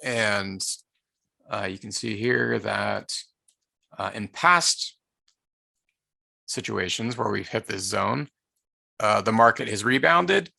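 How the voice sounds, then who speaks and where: A man with a hoarse, tired voice presenting a live online market-analysis session, speaking haltingly with many filler sounds and restarts. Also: lowish voice, sharp tone, no echo. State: hoarse, losing his voice.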